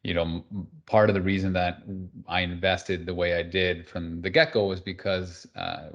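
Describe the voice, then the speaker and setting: Peru-born male guest, low voice, lively and emphatic delivery. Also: moderate pace, lo-fi sound. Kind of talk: interview guest on a video call.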